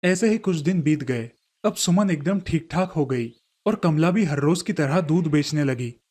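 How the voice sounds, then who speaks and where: Higher-pitched man narrating a story. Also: lively intonation, moderate pace. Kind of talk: scripted Hindi children's story narration. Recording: faint music under the voice. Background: soft background music.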